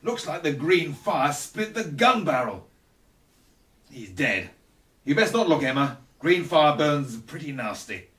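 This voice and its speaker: A man playing an aging, protective Victorian professor in a radio drama, measured and authoritative. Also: a little high, big pitch swings, fairly bright tone. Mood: grave. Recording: roomy, set back from the mic.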